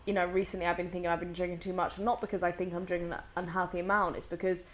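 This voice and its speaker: A woman's voice: fairly low pitch, level tone, very fast, a little hiss or hum. A woman in her mid-twenties, a podcast guest in casual chat, talking freely with lots of fillers and frequent swearing. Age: mid-20s.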